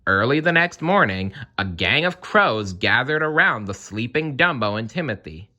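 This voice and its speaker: Animated man reading a children's story. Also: mid-range voice, normal speed, dull audio. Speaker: story reader. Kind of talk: expressive children's read-aloud narration.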